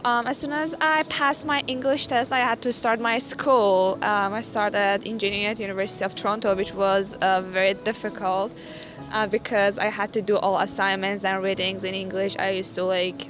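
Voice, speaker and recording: A young woman from Iran, whose first language is Persian, answers interview questions casually with many hesitant fillers. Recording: noisy background with rumble.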